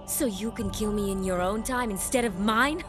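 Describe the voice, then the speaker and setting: An actress playing a proud, defiant empress villainess in a video game, speaking in a deliberate, theatrical way. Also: female voice, mid-range voice, music in the background, close to an everyday voice. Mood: mocking.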